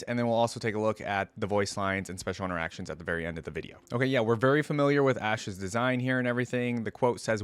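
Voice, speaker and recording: A man's voice: lowish voice, a bit bright, no room sound. A man hosting a reaction video, talking casually and thinking aloud as he comments and reads text out.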